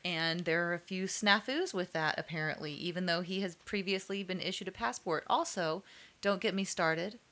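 A woman's voice: fairly low pitch, moderate pace, a bit bright. A woman co-hosting a chat podcast, wry and joking, laughing at her own remarks. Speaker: podcast co-host.

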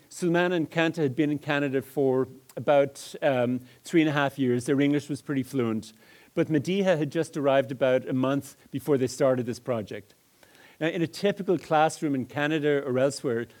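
A man giving a lecture, explaining examples in a measured, hesitant way. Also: mid-range voice, a little mellow. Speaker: lecturer on multilingual education.

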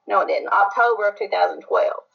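A woman's voice: medium pitch, a little fast, creaky, slightly rough. A woman being interviewed as an author, telling her story in a rambling, laughing, casual way. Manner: rambling, laughs often, fillers like "like". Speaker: author guest. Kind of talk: casual podcast chat. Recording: slightly dull lo-fi audio.

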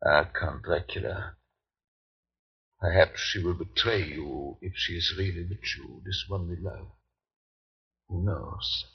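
An actor playing a grave, commanding doctor who hunts vampires, formal and urgent in old-time radio drama style. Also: male voice, sits low, slow pace, long pauses, husky, heavily acted. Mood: grave, probing. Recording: muffled, grainy old radio recording.

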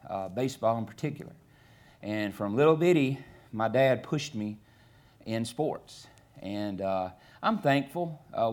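A middle-aged man from Alabama with a Southern US accent, preaching a sermon in a conversational, halting way with many fillers. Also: medium pitch. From Alabama, USA. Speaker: guest preacher. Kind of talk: sermon with scripture reading.

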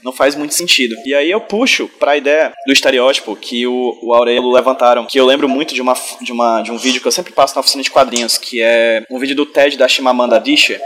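Man, very fast, passionate, casual podcast chat. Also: mid-range voice, fairly even intonation, a bit bright. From Northeast Brazil, Ceará. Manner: fast, passionate, full of restarts and tag questions. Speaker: podcast guest, comics creator. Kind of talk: casual group conversation.

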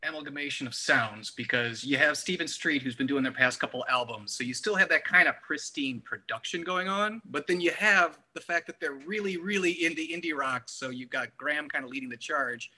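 Very bright, sharp-voiced man discussing music. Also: a little high, a little fast, few pauses. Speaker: music review podcast co-host. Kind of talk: casual album discussion.

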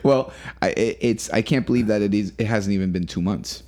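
Man, very low voice, mellow, earnest, halting, podcast co-host. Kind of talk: casual chat.

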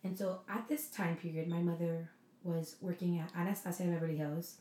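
Young woman, early twenties, podcast co-host, rambling, giggly, lowish voice, echoey room. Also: fairly even intonation, brisk, mellow. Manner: giggly, rambling, self-deprecating. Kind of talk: casual chat.

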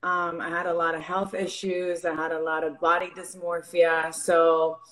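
A woman's voice: lowish voice, level tone, moderate pace, fairly bright tone, poor audio. A young woman from Venezuela, a fitness coach and guest on a podcast, talking openly and earnestly with many fillers. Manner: open, earnest, filler-heavy. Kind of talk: casual interview chat.

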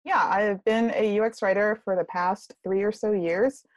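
A woman guest on a podcast interview talking about her career in a relaxed way, with many fillers. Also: mid-range voice, normal speed, no room sound. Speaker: guest, UX writer. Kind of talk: casual interview.

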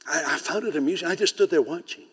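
A man preaching a sermon in English, which is not his first language, swinging from loud rising declarations to soft, chatty asides and often repeating words as he starts a phrase. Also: fairly high pitch, fast talker, slightly rough, long reverb tail.

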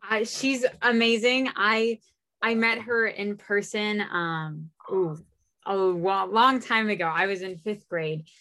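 Enthusiastic young woman, halting speech. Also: medium pitch, steady tone, bright, forceful tone. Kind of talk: casual conversation.

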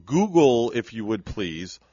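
A man's voice: on the low side, big pitch swings, slow pace, dark tone, no room sound, lo-fi sound. An older man from New York co-hosting a morning radio show, joking and chatting in a playful, casual way. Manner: jokey, playful, slips into comic impressions.

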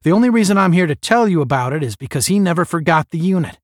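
A man doing a forceful veteran space officer. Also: a little high, animated, quick pace, dry recording, studio quality, pitched above the performer's own voice, natural voice. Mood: earnest, proud. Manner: forceful, proud, instructive. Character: commanding male space officer, seasoned, earnest.

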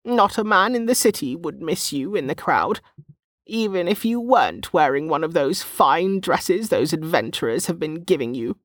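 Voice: medium pitch, animated, moderate pace, fairly bright tone, dry recording, studio quality, pitched above the performer's own voice. A narrator voicing a teasing, bawdy old woman who runs a market stall, with a knowing manner, in an audiobook. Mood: mocking, fond. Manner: teasing, knowing, calls people girl and dear. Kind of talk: audiobook character dialogue.

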